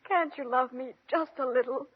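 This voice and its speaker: Gentle young heroine: wistful, polite, high-pitched, vintage muffled radio play. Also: female voice, moderate pace. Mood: pleading, hurt. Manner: polite, breathless, earnest, pleading. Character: sweet, meek, wistful young girl. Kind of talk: old radio play acting. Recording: muffled old radio recording.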